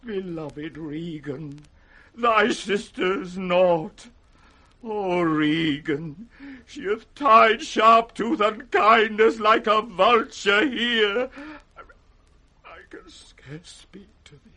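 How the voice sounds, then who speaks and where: A man's voice: sits high, extremely slow, sharp tone, exaggerated voice. An actor playing an aging, proud, wrathful king in classic radio Shakespeare, grand and volatile. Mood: bitter grief. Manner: grand, volatile, swinging from thunder to broken murmurs. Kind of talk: 1960s British radio Shakespeare acting.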